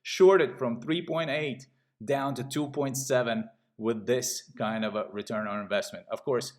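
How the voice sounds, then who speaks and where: A middle-aged man from Latvia giving a casual sales pitch while walking through trading charts. He talks in a pushy way and keeps checking in with "okay?". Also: fairly even intonation, measured pace. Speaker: trading channel host.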